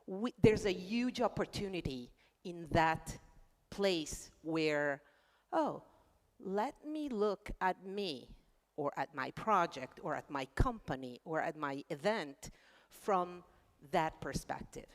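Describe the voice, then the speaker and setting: A woman on a conference panel, speaking in an animated way and acting out inner thoughts. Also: on the low side, slow pace. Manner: animated, acts out inner voices. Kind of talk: panel discussion. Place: conference hall. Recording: reverberant conference hall.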